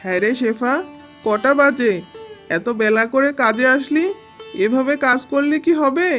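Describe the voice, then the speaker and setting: A woman's voice: high-pitched, a little slow, a bit bright. A woman voicing a bossy, stingy tigress employer in a children's cartoon, curt and nagging. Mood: scolding. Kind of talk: Bengali children's cartoon dubbing. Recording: music behind the voice.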